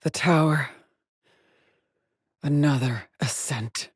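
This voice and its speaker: An actress playing a haunted, driven female astronaut in a video game, brooding and introspective in measured solitary log monologues. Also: sits low, breathy edge, no room sound, studio quality, exaggerated voice. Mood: tense, introspective. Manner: slow, measured, brooding delivery with pauses.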